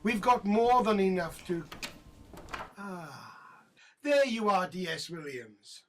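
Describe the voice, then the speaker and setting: A woman playing a menacing, mocking police inspector in an audio drama, with a husky voice and taunting, mock-sweet delivery. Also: medium pitch, expressive, slow pace, a little hiss or hum. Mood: gloating, taunting.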